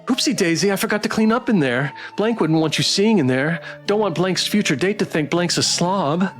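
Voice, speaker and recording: A man's voice: sits high, animated, moderate pace, a bit bright, soft background music. Man playing an awkward, lilting suburban guy. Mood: awkwardly polite. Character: mild, awkward, suburban normal-guy monster contestant.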